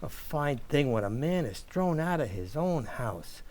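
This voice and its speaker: A man's voice: fairly high pitch, sing-song, measured pace, darkish tone, a little hiss or hum. An actor playing a bumbling henpecked family man in an old-time radio comedy, hesitant and flustered as he blusters. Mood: hurt, stalling.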